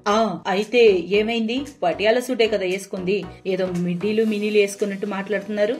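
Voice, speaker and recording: A woman voicing a middle-aged mother-in-law in a cartoon story, kindly but firm, coaxing and measured. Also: medium pitch, steady tone, darkish tone, faint music behind, lightly acted. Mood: defensive.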